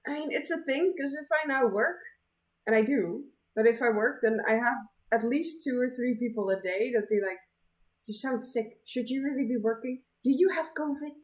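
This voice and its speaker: A woman's voice: a little high, moderate pace. A woman hosting a live stream, chatting casually and teasing with frequent laughs, her voice still a bit worn from being sick. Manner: giggly, teasing, laughs often. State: voice partly gone, lingering sniffle. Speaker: streamer host.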